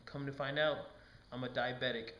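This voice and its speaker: A rueful blind puppet voiced by a man tells his story in a scripted film monologue. The voice sits at an ordinary male pitch in an echoey room, with faint noise behind it. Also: level tone, normal speed, lo-fi sound, lightly acted. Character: blind diabetic male puppet recalling wild past, rueful.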